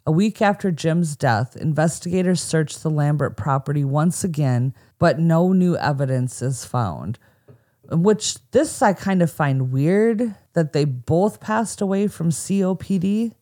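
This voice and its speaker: A woman's voice: low voice, expressive, a little slow, dark tone. An American woman co-hosting a podcast, telling a true crime story from a script with casual asides. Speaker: podcast co-host.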